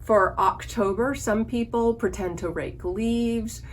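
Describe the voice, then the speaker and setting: A woman's voice: fairly high pitch, slow, a little hiss or hum. A woman leading an online story time for young children as a librarian, giving simple instructions and asking them questions. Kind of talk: children's online lesson with songs.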